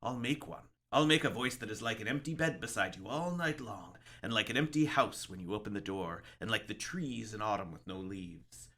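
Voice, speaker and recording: Man voicing a brooding, folksy old lighthouse keeper, sharp-edged. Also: fairly low pitch. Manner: folksy, ominous, musing. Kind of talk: dramatic short-story reading.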